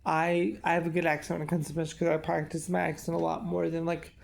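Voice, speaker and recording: A young man in his twenties talks to the camera in a loose, rambling vlog style, with many fillers and restarts. His voice is strongly gravelly, with heavy fry, and sits a little high for a man. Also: monotone, quick pace, a little hiss or hum. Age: 20s. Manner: rambling, lots of "like" and "um". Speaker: vlogger. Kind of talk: casual vlog chat.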